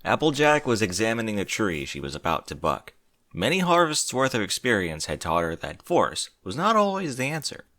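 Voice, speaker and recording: A man reading a story aloud as narrator, in an expressive scripted style. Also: on the low side, normal speed, no room sound, clean studio sound. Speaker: fan fiction narrator.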